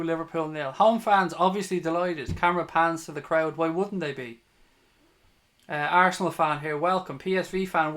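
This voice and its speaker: A man's voice: high-pitched, a bit bright. A man with a Dublin Irish accent commentating live on football for a fan livestream, blunt, sweary and opinionated. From Ireland, from Dublin. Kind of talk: live football watch-along commentary, chatting with viewers.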